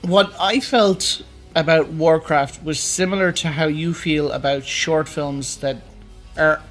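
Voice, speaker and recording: A man with a fairly high-pitched voice chats as a co-host. His delivery is casual and a little hesitant, as he works out his thoughts while speaking. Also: fairly even intonation, slow pace, fairly bright tone, faint music behind. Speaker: co-host of a film and games discussion show. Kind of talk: casual conversational banter.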